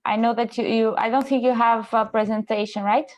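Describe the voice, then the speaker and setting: Higher-voiced woman moderating, somewhat tentative. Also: steady tone, brisk. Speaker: seminar moderator. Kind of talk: casual, polite moderating. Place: online seminar call.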